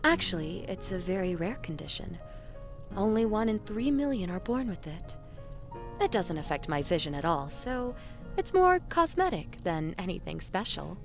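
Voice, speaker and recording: A woman playing a gentle, caring woman from a dream realm in an audio drama, speaking in somewhat formal phrasing. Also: mid-range voice, sing-song, moderate pace, soft background music. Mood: pleased, bashful.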